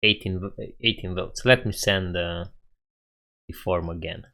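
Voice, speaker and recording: A man's voice: low voice, steady tone, a little slow, bright, forceful tone. A young man with a Romanian accent, casually chatting with viewers on a coding live stream, laughing often and thinking aloud.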